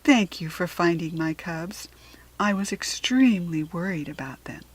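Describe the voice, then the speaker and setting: Woman as a gentle mother tiger. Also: on the low side, animated, slightly rough. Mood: gentle, relieved. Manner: gentle, slow. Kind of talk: children's storybook reading.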